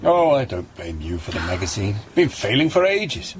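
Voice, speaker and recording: An actor playing a good-humoured, resigned injured magazine boss in a radio drama, joking and a little hesitant. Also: male voice, on the low side, sing-song, normal speed, noisy background. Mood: resigned, kindly.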